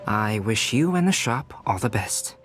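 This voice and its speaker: An actor playing a polite, scholarly young alchemist in a video game, speaking in a measured, formal, understated way. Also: male voice, lowish voice, expressive. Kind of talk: video game cutscene acting. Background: music.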